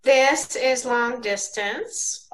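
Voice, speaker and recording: Woman acting a slow, high-toned phone operator. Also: a bit bright, a little hiss or hum, low-quality recording. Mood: polite. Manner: formal, deliberate. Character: polite, formal female long-distance operator. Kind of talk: radio drama acting. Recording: amateur video call recording.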